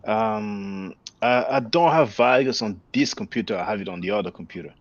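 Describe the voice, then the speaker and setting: A man in a casual talk, explaining slowly and measuredly, often trailing off softly. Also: lowish voice, no room sound. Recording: dry, muffled lo-fi audio.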